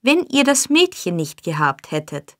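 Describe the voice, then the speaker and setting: A woman's voice: lowish voice, big pitch swings, unhurried, fairly bright tone. A woman voicing a sly, wheedling old fence. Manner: wheedling, placating. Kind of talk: audiobook character voice.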